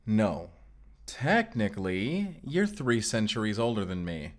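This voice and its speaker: A man's voice: on the low side, big pitch swings, measured pace. A man playing a blunt, irritable, hot-headed vampire slayer with a curt, exasperated manner in an audio roleplay. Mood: irritated, curt. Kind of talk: cinematic audio roleplay acting.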